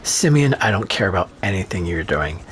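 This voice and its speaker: A man's voice: deep voice, very animated, brisk, fairly bright tone, a little hiss or hum. A man rambling through casual gameplay commentary, sarcastic and profane, with a voice that is starting to go. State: losing his voice. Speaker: gaming streamer.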